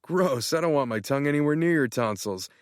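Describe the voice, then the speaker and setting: A man voicing an excitable, flamboyant male friend who talks in theatrical gushes. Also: on the high side, a little fast, no room sound, studio quality, pitched above the performer's own voice, lightly acted. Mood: indignant. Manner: theatrical, gushing.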